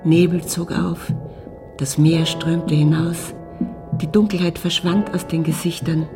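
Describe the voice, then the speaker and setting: Female narrator, low-pitched and gravelly, dramatic scripted reading over music. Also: flat delivery, a little slow.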